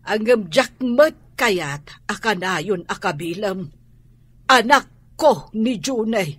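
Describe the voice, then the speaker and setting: Protective Ilocano mother, husky voice, slow delivery, fretful, sighing, radio drama. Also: mid-range voice, animated, fairly bright tone, no echo, exaggerated voice. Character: worried, protective mother standing up for her child.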